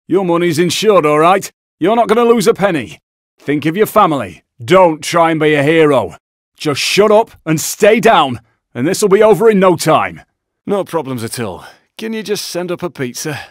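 A sweary british heist crook from a game, gruff and aggressive, pitched high, really dry close sound. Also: male voice, very animated, moderate pace, no echo. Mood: menacing, commanding. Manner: sweary, blunt, barking orders. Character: foul-mouthed, cocky, aggressive British bank robber. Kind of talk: video game combat barks.

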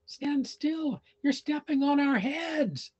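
Guy doing a fed-up heron from a kids book, pitched way up, pleading, muffled audio. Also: takes it slowly, a little mellow, dry recording. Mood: exasperated. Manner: pleading, insistent. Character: exasperated young heron siblings and pleading parents. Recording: dull lo-fi video call audio.

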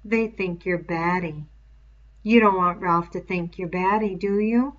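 A woman voicing a menacing, fly-covered pig's head that taunts a boy slowly and mockingly like a stern schoolmaster, on a dull lo-fi recording. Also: lowish voice, close to an everyday voice. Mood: wheedling.

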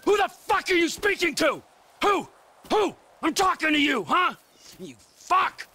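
An actor playing a violent, unpredictable middle-aged criminal in a video game, lurching between mocking whispers and screamed rage. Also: male voice, sits high, big pitch swings, moderate pace, bright, forceful tone, slight background noise, heavily acted. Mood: screaming rage. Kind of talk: video game cutscene acting.

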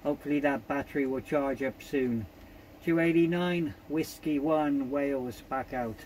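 A man's voice: medium pitch, moderate pace, a little mellow, slight background noise, poor audio. A man working a CB radio, politely exchanging call signs in a procedural, repetitive way. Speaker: amateur CB radio operator.

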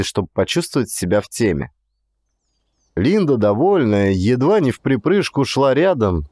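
A man's voice: mid-range voice, a little mellow, no echo, clean studio sound. A man giving dramatic scripted narration as an audiobook narrator.

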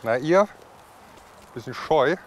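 A man's voice: mid-range voice, lively intonation, slow pace, a little hiss or hum, rough recording. A man in his thirties, the daughter's boyfriend, talking in an easygoing, self-deprecating way outdoors on a farm. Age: 30s. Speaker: farmer's daughter's boyfriend helping out. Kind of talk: documentary interview and banter. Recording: voice with music underneath.

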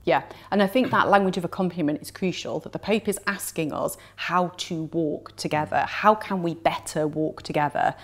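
A woman in her forties with a fairly low voice speaks fluently and with conviction. She lays out her points in steady, emphatic runs and stacks rhetorical questions one after another, like a seated interviewee in a quiet indoor room. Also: moderate pace, few pauses. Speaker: theologian interviewed on the synod. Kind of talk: earnest explanatory interview talk. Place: indoor room with plain walls.

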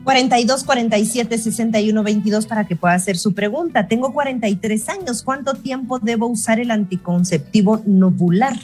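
Guatemalan lady host in her 30s reading out questions, steady and clear, earphone mic on a video call. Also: a little high, brisk, few pauses, faint music behind. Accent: Guatemalan Spanish. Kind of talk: reading messages on a call-in show. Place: home room on a video call.

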